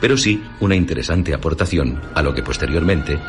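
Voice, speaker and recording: A man with a Castilian Spanish accent presenting literary radio stories in a measured, dramatic scripted narration. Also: a bass voice, lively intonation. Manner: measured, dramatic storyteller delivery. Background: music behind the voice.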